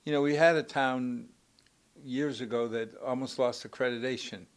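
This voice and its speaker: A man's voice: medium pitch, steady tone, normal speed, clean tone. Easygoing host, Portuguese native speaker, a man. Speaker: show host. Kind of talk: casual interview chat.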